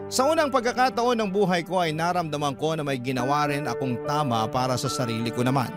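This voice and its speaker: Guy radio drama narrator reading a heartfelt letter, slightly high voice, loud music under him. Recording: loud musical bed behind voice.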